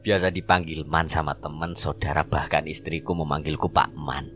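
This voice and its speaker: A man's voice: extremely low pitch, steady tone, normal speed, a bit bright, faint music behind. A man voicing a jovial middle-aged male rickshaw driver with a Javanese accent, joking and chummy.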